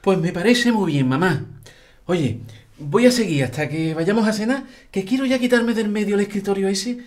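A man's voice: sits high, lively intonation, moderate pace, a little mellow. An actor playing a helpful, henpecked middle-aged father in an audio drama. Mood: weary.

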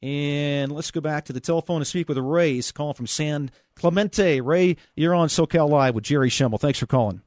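A man's voice: a little high, moderate pace, hardly any pauses, a little mellow, no echo, clean studio sound. A man with an American accent hosting a conversational sports call-in show on the radio.

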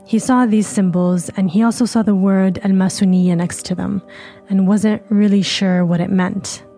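A woman hosting a podcast, reading scripted narration in a measured, even way. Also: mid-range voice, faint music behind. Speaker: podcast host.

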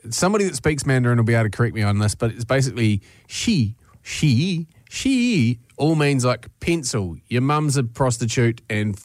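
Wisecracking Australian radio co-host. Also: male voice, medium pitch, no echo. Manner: wisecracking, blunt. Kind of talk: casual radio chat.

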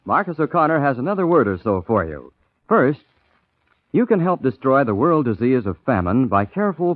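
A man's voice: medium pitch, no echo. A man announcing from a script in formal, polished 1940s radio style. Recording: tinny 1940s radio recording, music.

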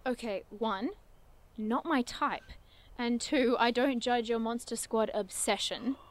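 A woman's voice: fairly high pitch, takes it slowly. A woman playing a curious, bookish cryptid investigator in an audio drama, earnest and wordy with dry playfulness. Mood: playfully insistent. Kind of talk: audio drama acting, naturalistic dialogue.

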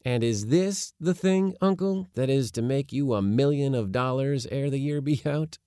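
A man's voice: mid-range voice, very animated, moderate pace, no echo, studio quality, pitched above the performer's own voice, lightly acted. A man voicing a boyish young nephew in a storybook reading, exclamatory, disdainful and complaining. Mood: amazed disdain.

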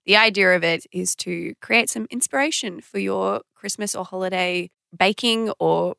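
A woman's voice: mid-range voice, moderate pace, creaky, sharp tone, clean tone, no echo, studio quality. A woman co-hosting a casual podcast in a chatty, informal, playful way. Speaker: co-host.

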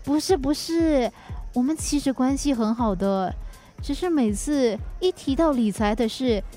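A woman's voice: high voice, lively intonation, a little slow, warm tone, soft background music. An actress playing an earnest, easily wounded wife in her thirties in a radio drama, with emotive, pleading delivery. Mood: weary, aggrieved. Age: 30s.

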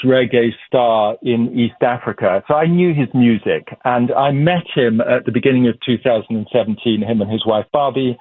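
A man's voice: mid-range voice, no room sound. A man, a documentary director who grew up in Uganda, telling his story in loose, chatty interview answers. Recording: tinny phone line.

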